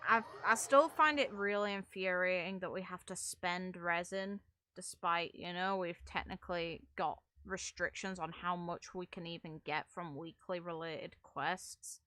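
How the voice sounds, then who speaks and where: A woman's voice: on the low side, fairly even intonation, a little slow, bright, forceful tone, dry recording. A woman streaming a game in casual, rambling commentary, talking in a blunt, exasperated, sweary way. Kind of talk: casual ranting gaming commentary.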